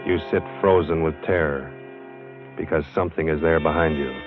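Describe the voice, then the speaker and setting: A man delivers ominous vintage radio narration in an extremely low, level voice. Music plays clearly behind him on a dull old recording. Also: steady tone, moderate pace. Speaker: radio show announcer.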